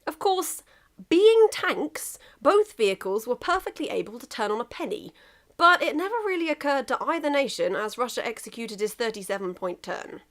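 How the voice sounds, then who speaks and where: A woman narrating a comic piece of fan fiction in an expressive, emphatic storytelling manner. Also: a little high, normal speed.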